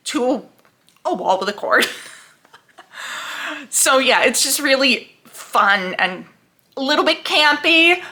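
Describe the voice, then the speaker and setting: A young woman talking casually to camera about what she is reading, chatty and quick to laugh, acting out her own reactions. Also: on the high side, bright, forceful tone. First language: English. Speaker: book vlogger.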